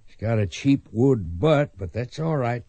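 An actor playing a fond, gruff Old West gunfighting mentor with folksy country phrasing in a radio drama. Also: male voice, mid-range voice, lively intonation, a little slow, mellow, dry recording. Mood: musing. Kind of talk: radio drama acting, western.

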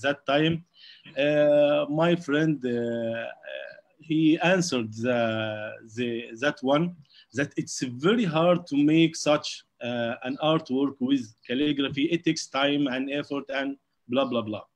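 An Egyptian man, Arabic his first language, speaks English over a video call. His voice sits in an ordinary middle pitch and he moves very slowly, stopping on uh after nearly every phrase as he searches for words. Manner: slow and halting, heavy uh fillers. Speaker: calligrapher, panel guest. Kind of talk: casual online panel talk.

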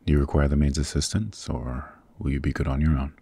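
A man voicing a possessive, protective demon king in a husky, very deep voice, formal and intimate, in an ASMR roleplay. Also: level tone, a little fast, warm tone, dry recording, full character voice. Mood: commanding, caring. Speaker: voice actor.

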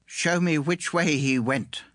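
An actor playing a crafty, insistent and solemn old prophet in a dramatised scripture reading. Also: male voice, fairly high pitch, slow pace, fairly bright tone, no room sound. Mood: commanding.

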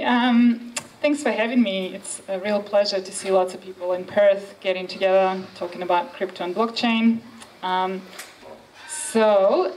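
A woman presents at a tech meetup in a dim event room, casual and a little hesitant. The recording catches her from a distance, with faint background noise underneath. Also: lowish voice. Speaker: conference speaker. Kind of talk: conference presentation.

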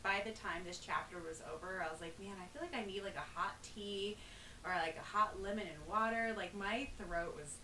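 A woman's voice: medium pitch, normal speed, bright, forceful tone. Chatty woman, roomy distant mic. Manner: chatty, exclamatory. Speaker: podcast co-host and audiobook narrator. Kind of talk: casual chat. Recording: roomy, off-mic, faint hiss.

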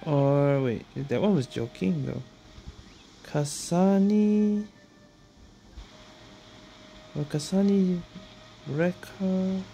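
Slow, mellow-voiced woman gamer. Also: on the low side, soft background music. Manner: muttering, thinking aloud. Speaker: streamer playing a game. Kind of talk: casual gameplay commentary to self.

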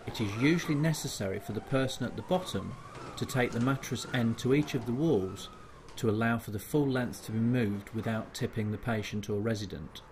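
A man reading a scripted voice-over for a healthcare evacuation training video, measured and formal. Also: medium pitch, a little mellow, a little hiss or hum. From United Kingdom.

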